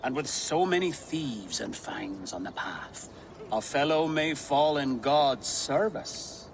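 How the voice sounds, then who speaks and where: An actor playing a jovial, teasing older village elder with a theatrical, bantering manner in a game cutscene. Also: male voice, medium pitch, animated, slow pace, slight background noise. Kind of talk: video game cutscene acting.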